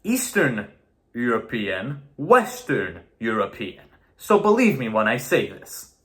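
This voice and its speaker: A man's voice: mid-range voice, huge pitch swings, slow, fairly bright tone. Blunt young man, Romanian native speaker. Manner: earnest and blunt, swears for emphasis. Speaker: video essayist. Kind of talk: opinionated monologue to camera.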